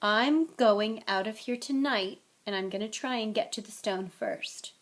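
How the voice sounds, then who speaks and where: A woman's voice: mid-range voice, measured pace, natural voice. A woman voicing a determined, hot-headed boy hero in a storybook reading, with an intense, insistent delivery. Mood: fierce, defiant.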